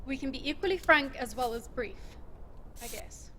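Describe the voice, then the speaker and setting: An actress playing a brash, bossy, upbeat desert rescuer in an audio drama, brisk and teasing in her commands. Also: high-pitched, expressive, sharp tone, slight background noise. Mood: grudging, frank.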